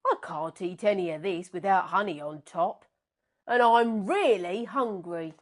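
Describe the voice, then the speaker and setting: A woman's voice: fairly low pitch, a little slow. A woman voicing a hungry, honey-loving male bear in a children's storybook, eager and plaintive. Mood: puzzled, plaintive.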